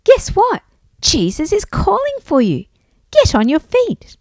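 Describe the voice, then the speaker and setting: Woman doing a high, cheerful, urging girl. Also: wildly swooping pitch, unhurried, dry recording, studio quality, full character voice. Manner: bright, urging. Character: cheerful, bossy, encouraging girl in a crowd.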